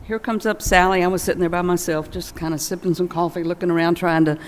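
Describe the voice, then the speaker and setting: A woman's voice: lowish voice, fairly even intonation, quick pace. An older woman telling informal, folksy anecdotes as a remembrance speech in a large church. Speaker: friend giving a remembrance. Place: large church, at a memorial gathering. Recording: long echo of a large church hall.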